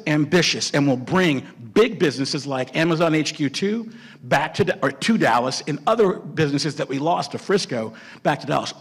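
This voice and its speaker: A man with a rough, husky voice pitched a bit high gives a debate answer. He speaks emphatically as a candidate, stacking up words in lists. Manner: emphatic, piling up adjectives in lists. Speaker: mayoral candidate. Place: public forum venue.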